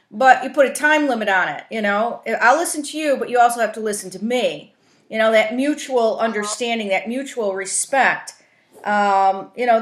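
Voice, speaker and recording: Female trainer, higher voice, informal explanatory talk, checks in often, roomy conference-call sound. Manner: explanatory, often checks in with "right?" and "you know". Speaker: trainer, facilitator teaching a coaching tool.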